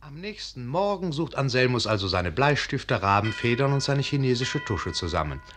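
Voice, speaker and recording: A man's voice: medium pitch, sing-song, moderate pace, a bit bright, a little hiss or hum. A man playing a wry, genial fairy-tale narrator in a radio production, telling the story with knowing irony. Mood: wry storytelling. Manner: ironic, knowing. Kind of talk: theatrical scripted narration.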